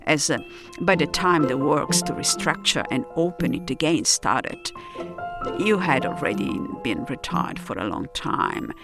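A woman's voice: deep voice, expressive, measured pace. An Italian woman with an Italian accent, narrating in a reflective, poetic, scripted style. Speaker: narrator of an audio drama. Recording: music behind the voice. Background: music.

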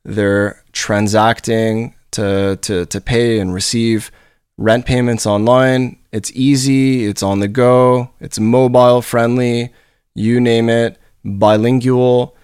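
A man's voice: mid-range voice, little pitch movement, slow pace, darkish tone, smooth, no room sound, studio quality. A man from Montreal with a nasal voice, talking on his own in an upbeat, rambling way as a podcast host, often repeating words as he restarts. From Montreal, Canada. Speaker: podcast host and company leader. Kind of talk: solo podcast monologue. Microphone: studio podcast microphone.

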